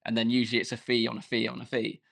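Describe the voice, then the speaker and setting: A man's voice: medium pitch, monotone, creaky, a bit bright. A man, a dance teacher and podcast guest, talking casually with fillers and restarts. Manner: halting, many fillers and restarts.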